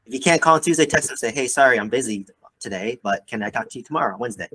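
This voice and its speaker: A man's voice: medium pitch, animated, very fast, bright, forceful tone, slight background noise, rough recording. A man working as a mentor, talking casually in a one-on-one coaching call and often checking in with "right?". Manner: conversational, peppers talk with "like" and "right?". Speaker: real estate mentor and coach.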